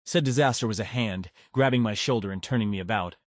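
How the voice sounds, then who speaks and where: Visual novel lead: man as anxious, sardonic high schooler, deadpan grumbler, lowish voice. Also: fast, no room sound, studio quality, natural voice. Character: cynical, anxious, foul-mouthed male high school student. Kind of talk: first-person visual novel narration and dialogue.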